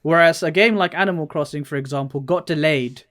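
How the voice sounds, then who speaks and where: A man co-hosting a podcast in casual chat, talking in a halting way as he thinks aloud. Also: fairly high pitch, a bit bright. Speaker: podcast co-host. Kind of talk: casual chat about video games.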